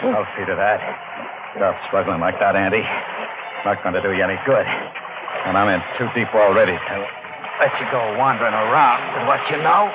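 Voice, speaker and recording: A male actor plays a guilty deep-sea diver with blood on his hands, a cornered man in an old radio mystery. The sound is narrow and hissy, like a worn vintage broadcast. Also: fairly quick, close to an everyday voice. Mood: cold, menacing. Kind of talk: old-time radio drama acting. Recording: noisy, narrow-band vintage radio recording.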